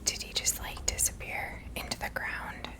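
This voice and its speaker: A woman softly whispering ASMR commentary as she plays a video game, gently musing aloud. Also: normal speed. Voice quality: soft breathy whisper. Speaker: host playing a video game.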